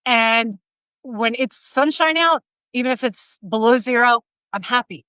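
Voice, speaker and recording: A woman in her fifties hosting a casual interview over a video call, energetic and laughing often. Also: on the high side, unhurried, clean tone, dry recording, low-quality recording. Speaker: podcast host. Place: bright room at home, indoors. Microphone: video call, laptop mic.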